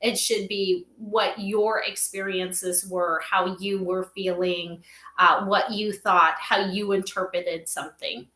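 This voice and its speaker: A middle-aged woman giving conversational interview answers as a podcast guest, animated and emphatic, often checking in with "right?". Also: medium pitch, takes it slowly, echoey room, low-quality recording. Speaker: podcast guest, spiritual advisor for an AI company.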